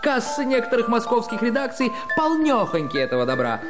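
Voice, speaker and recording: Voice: medium pitch, wildly swooping pitch, brisk, in a large hall. An actor playing a disgruntled, picky humor-magazine writer, grumbling and aggrieved in a radio play. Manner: grumbling, complaining. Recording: loud music and crowd behind.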